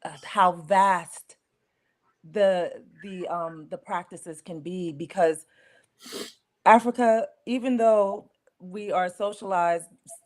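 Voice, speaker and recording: A woman's voice: on the low side, fairly even intonation, slow pace, a little mellow. A woman hosting a video-call panel who affirms her guests before moving on to the next question. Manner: affirming, upbeat moderator. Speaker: host. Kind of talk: panel moderation.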